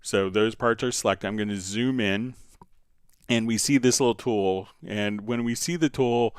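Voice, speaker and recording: A man hosting a casual live software tutorial, talking haltingly as he thinks aloud while demonstrating. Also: lowish voice, clean tone, no echo, studio quality. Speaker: livestream host, software instructor.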